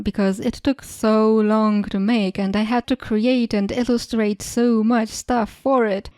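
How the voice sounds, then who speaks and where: A young woman with a Polish accent reads her channel's questions and her own answers in a calm, matter-of-fact way. Her voice sits fairly high but has a soft, mellow tone. The recording is dry and close, with no room sound. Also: dry recording. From Eastern Europe. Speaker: channel host. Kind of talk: scripted Q&A narration.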